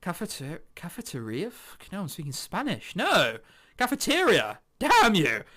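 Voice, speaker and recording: A man's voice: sits high, huge pitch swings, normal speed, pushed and bright. A man doing a playful let's play, reading a visual novel aloud with dramatized lines and jokey, sweary asides. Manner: acts out dialogue in exaggerated voices.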